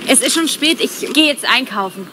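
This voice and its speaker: A woman in her twenties playing a friendly young art student in a scripted exam dialogue, speaking clearly and deliberately, with street traffic behind her. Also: sits high, lively intonation. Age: 20s. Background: car traffic.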